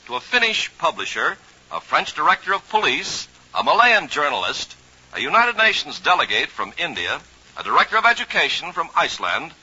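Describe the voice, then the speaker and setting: A man's voice: on the high side, bright, forceful tone, husky. An American man announcing in an emphatic, scripted 1950s radio style. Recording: muffled vintage radio broadcast.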